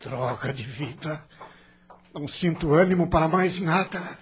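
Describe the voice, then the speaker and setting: A man's voice: a little high, a little slow, slightly rough. An actor playing a bitter, boastful, hard-drinking man in a radio drama, haughty and theatrical, with a Brazilian accent. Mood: despondent.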